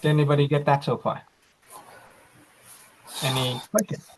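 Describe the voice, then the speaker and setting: A man hosting an online class on a video call, slow and reflective, with frequent fillers. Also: fairly high pitch, level tone, a little hiss or hum, poor audio.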